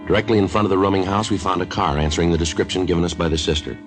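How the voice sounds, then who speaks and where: An actor playing a terse, matter-of-fact American police detective who also narrates a 1950s radio drama. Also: male voice, sits low, level tone, rapid-fire, close to an everyday voice. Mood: matter-of-fact narration. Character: male Los Angeles police detective, terse and businesslike. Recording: old radio recording with music.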